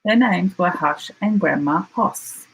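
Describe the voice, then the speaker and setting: Children's storyteller: Australian woman, slightly husky, slow, expressive, dramatic emphasis. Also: lowish voice, poor audio. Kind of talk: children's picture book read-aloud.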